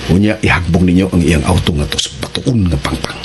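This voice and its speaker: An actor playing a commanding, self-assured crime gang boss in a radio drama. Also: male voice, deep voice, brisk, noisy background, natural voice. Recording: loud dramatic music bed.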